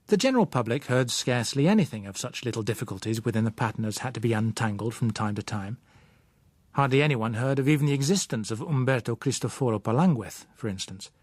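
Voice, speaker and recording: Measured British male narrator, dry sound. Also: medium pitch, no room sound. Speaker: audiobook narrator. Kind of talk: scripted literary narration.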